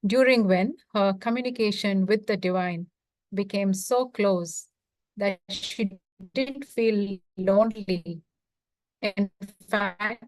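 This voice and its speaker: A woman hosting a talk, introducing a guest speaker in formal, measured, courteous phrasing. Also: medium pitch, darkish tone, no echo, low-quality recording.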